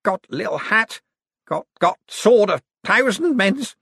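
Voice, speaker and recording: A man voicing a deranged, feverish man who slurs and mumbles in halting, broken phrases with flashes of pomposity, as in an audiobook. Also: high-pitched, sing-song, bright, forceful tone, husky, dry recording, studio quality, voice pushed up, full character voice. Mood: feverish, confiding. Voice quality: slurred, mumbling. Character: deranged, slurring, feverish man with imperious delusions. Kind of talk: audiobook character acting.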